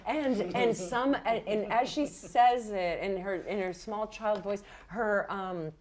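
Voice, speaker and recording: A woman with a medium-pitched voice runs a tabletop roleplaying game. She narrates off the cuff in a casual style, explaining rules and outcomes. Her reactions are animated and exclamatory. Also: slow pace, over a noisy crowd. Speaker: game master.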